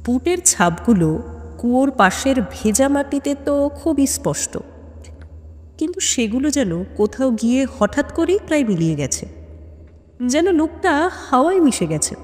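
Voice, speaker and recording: A woman narrating a scripted suspense story in an expressive, dramatic manner. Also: fairly high pitch. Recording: long hall-like reverb.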